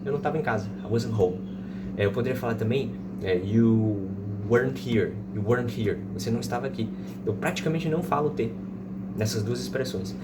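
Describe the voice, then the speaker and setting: A man's voice: medium pitch, brisk. Casting: Brazilian man, thirties, didactic tutor who repeats examples, recorded away from the mic in heavy noise. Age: 30s. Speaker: English teacher. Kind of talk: informal explanatory language lesson with slow example sentences. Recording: distant mic, loud background noise.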